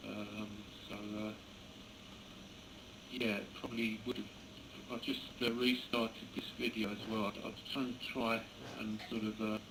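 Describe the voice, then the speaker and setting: Male radio hobbyist, halting casual delivery, gravelly fry, clearly noisy camera audio. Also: fairly low pitch, level tone. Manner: hesitant, halting, chuckling. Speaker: amateur radio operator. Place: indoors beside a computer.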